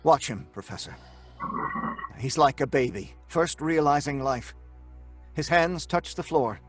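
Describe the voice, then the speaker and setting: An actor playing an obsessed young scientist in old-time radio horror style, earnest, dramatic and deliberate. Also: male voice, on the high side, animated, faint music behind. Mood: awed.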